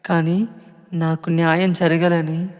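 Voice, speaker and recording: A man's voice: on the high side, little pitch movement, slow. A man voicing the spirit of a murdered young pregnant woman who speaks to her family in a sorrowful, pleading monologue. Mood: anguished, pleading. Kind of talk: emotional monologue. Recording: long echoing reverb.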